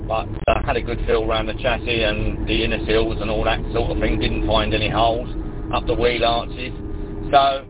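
A man on a two-way radio, chatting casually in a rambling, hesitant way with many fillers. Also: mid-range voice. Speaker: radio amateur. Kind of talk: casual chat over the air. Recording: tinny radio channel with noise.

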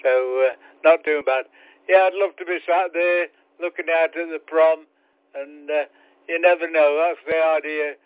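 Man, radio ham, easygoing chat, higher voice; dry, tinny signal. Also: steady tone, measured pace, clean tone, no room sound. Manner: easygoing, technical, jokey.

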